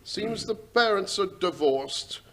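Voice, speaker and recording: A man plays a plodding official in an old-time radio play. His voice is a little high. He reports earnestly, with many hesitations. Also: animated, takes it slowly, gravelly, pushed and bright, heavily acted. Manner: hesitant, stammering while reporting details.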